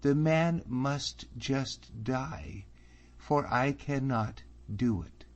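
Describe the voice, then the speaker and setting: A man's voice: medium pitch, very slow, voice pushed up. A man reading an audiobook, voicing an earnest young man who pleads anxiously, close to his own voice. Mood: pleading. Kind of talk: audiobook character voice. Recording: slightly muffled recording.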